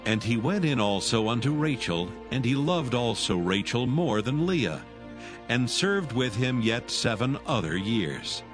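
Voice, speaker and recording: A man narrating dramatized scripture as a solemn, measured storyteller. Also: mid-range voice, big pitch swings. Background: music.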